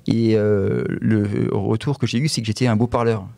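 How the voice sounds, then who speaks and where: A man's voice: on the low side, fairly even intonation, normal speed, vocal fry, warm tone, no echo. A man co-hosting a casual conversation, offering thoughts and putting questions. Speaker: podcast co-host.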